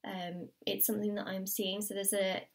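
A woman giving a tarot reading to the viewer, her voice slightly croaky, encouraging and repeating key phrases. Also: mid-range voice, level tone, normal speed, distant mic. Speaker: tarot reader. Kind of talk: pick-a-card tarot reading to camera. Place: indoors at a table.

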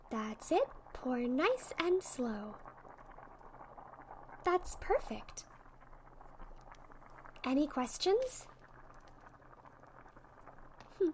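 A woman acts out a sweet young witch tutoring a friend in an ASMR roleplay. Her voice is high and unhurried, gentle and full of encouragement. Faint ambient noise sits behind her. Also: rough recording. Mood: patient, instructive, then warm, proud. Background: soft potion bubbling and fizzing effects.

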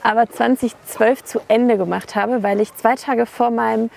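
A woman in her thirties, raised in Germany with Polish as her first language, telling her story in a casual outdoor interview, animated and full of fillers. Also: on the high side, moderate pace, gravelly, a little hiss or hum. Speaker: interview guest. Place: outdoors at a schoolyard.

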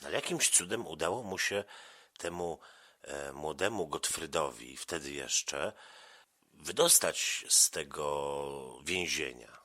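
Very deep-voiced, slow-paced man interviewing. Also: pushed and bright. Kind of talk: conversational interview with a child.

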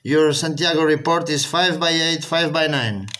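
A man's voice: a little high, moderate pace, very noisy. A man from Portugal with a Portuguese accent, speaking as an amateur radio operator making contact calls.

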